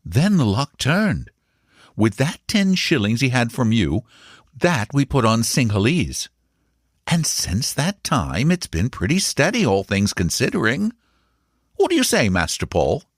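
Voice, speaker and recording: A man's voice: medium pitch, wildly swooping pitch, a little slow, no echo, clean studio sound, voice pushed up. A man voicing a respectful, confiding male servant in a storybook, reverent about his master. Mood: respectful, chatty. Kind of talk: storybook character dialogue.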